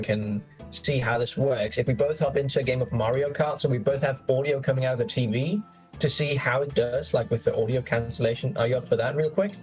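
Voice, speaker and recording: A man chatting enthusiastically over a voice call from his home, quick to laugh and full of fillers like "like". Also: mid-range voice. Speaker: co-host on a voice call. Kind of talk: casual chat over voice call.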